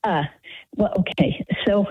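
A woman's voice: mid-range voice, unhurried, breathy edge. Nervous woman caller on a phone line. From Texas, United States. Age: 50s. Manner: nervous, hesitant, many fillers. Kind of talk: radio call-in chat.

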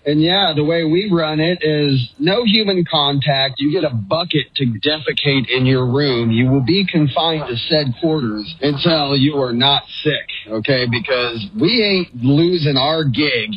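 A man's voice: a little high, unhurried, few pauses. A man leaving a blunt, no-nonsense talkback message for a radio show. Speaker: listener talkback caller. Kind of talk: recorded voice message. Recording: dull lo-fi voicemail.

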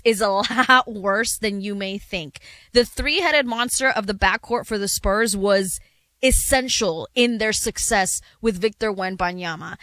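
Female sports co-host, bright higher voice, dry studio sound, conversational analysis. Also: no room sound. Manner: conversational, builds on co-host's points with agreement. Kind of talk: basketball analysis chat.

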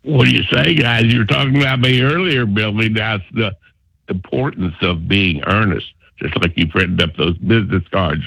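Caller, low and gravelly, rambles along, dry sound. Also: male voice, normal speed, a bit bright, no room sound, poor audio. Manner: folksy, rambling with fillers. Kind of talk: call-in comment on sports radio.

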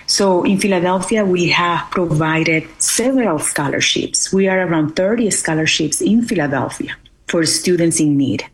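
Venezuelan woman guest, spanish accent, deep raspy voice, earnest appeal, pauses hunting for english words. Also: rough recording. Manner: non-native English phrasing with searching pauses. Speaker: interview guest, charity foundation director. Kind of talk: interview answers, earnest appeal.

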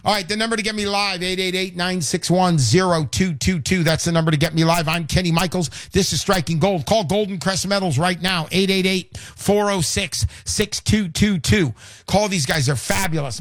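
High-voiced, fervent radio host in his sixties, a man. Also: no room sound, clean studio sound. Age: 60s. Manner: fervent, emphatic, repeats himself. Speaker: radio call-in show host and pitchman. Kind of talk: live radio sales talk.

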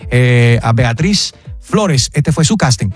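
A man's voice: mid-range voice, animated, takes it slowly, faint music behind. A male radio host with an upbeat, showy promotional delivery, mixing in English phrases and repeating himself for emphasis.

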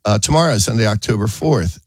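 Male gardening radio host, deep-ish, congested from a cold, casual jokey studio banter, dead-dry sound. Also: lively intonation, a little fast, breathy edge, no echo. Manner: rambling, jokey, chuckles at his own quips. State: has a head cold, stuffy. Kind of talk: casual early-morning radio chat.

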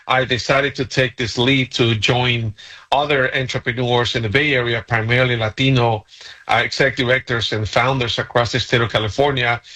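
A man in his forties from Guatemala, a guest on a radio interview, talking about his nonprofit in an earnest, steady way. His voice is bright and forceful, at an average pitch. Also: rough recording.

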